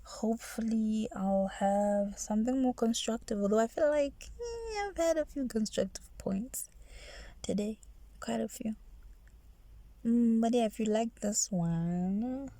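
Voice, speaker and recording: A woman with a nasal voice chatting in a soft, rambling ASMR style, restarting her sentences and often slipping into whispers. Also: on the high side, lively intonation, measured pace, no echo. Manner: soft-spoken, drifting into whispers. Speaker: ASMR creator.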